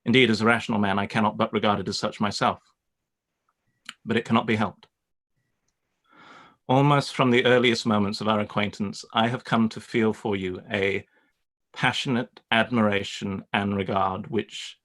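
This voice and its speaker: A proud Regency gentleman, acted by a man in a radio play. The voice sits fairly low, with formal, haughty phrasing and a stiff but ardent temper. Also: steady tone, normal speed, natural voice. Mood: ardent, strained. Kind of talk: radio play live reading.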